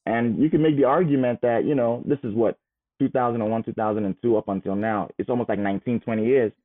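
A man in his thirties, a guest telling his life story in a casual, animated way. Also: mid-range voice, fast. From Nigeria. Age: 30s. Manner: animated, repeats words as he goes. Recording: phone-like narrow sound.

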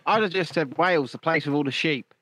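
A man's voice: on the high side, fairly quick, sharp tone. A man hosting a podcast, chatting casually, teasing his co-host, swearing freely and laughing a lot.

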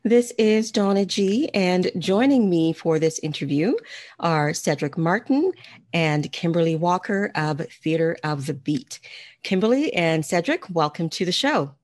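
A woman's voice: fairly low pitch, lively intonation, a little slow, a little mellow, no echo, studio quality. A woman hosting a community radio interview, asking direct questions. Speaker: radio host.

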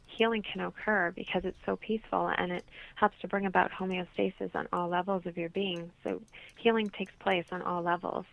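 Woman on a phone-like line, creaky. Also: on the low side, no echo. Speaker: holistic healer, interview guest. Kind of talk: explanatory interview answers. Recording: muffled, narrow phone-like line.

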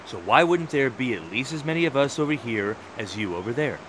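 Proud male wall guard played by a man, defensive and emphatic, very animated pitch, noisy recording with hiss and rumble. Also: a little fast, lightly acted. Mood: smug, defiant. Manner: emphatic, argumentative. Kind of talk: one-act audio play acting.

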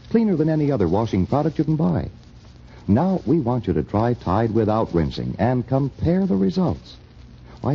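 Very dark-voiced, animated male radio announcer. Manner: emphatic sales pitch. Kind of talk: scripted vintage radio ad read. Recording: muffled old radio recording, faint hiss.

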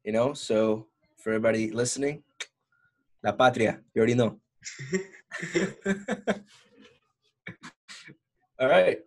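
Gravelly husky-voiced podcast co-host. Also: male voice, medium pitch, expressive, slow pace, pauses often, darkish tone. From Ecuador. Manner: laid-back, laughs easily. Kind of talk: casual podcast chat.

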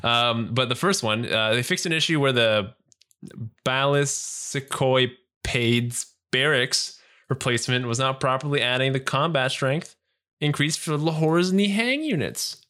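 A man co-hosting a casual gaming podcast, animated and joking as he goes through patch notes. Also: mid-range voice, unhurried. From Canada. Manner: animated, joking, self-mocking. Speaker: podcast co-host. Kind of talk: casual chat about game patch notes.

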